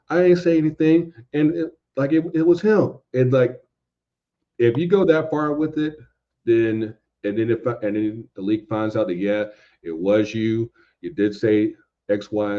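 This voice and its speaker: A man co-hosting a sports podcast, giving emphatic, opinionated takes in casual, sweary talk and often repeating words for stress. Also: fairly low pitch. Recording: dull lo-fi sound.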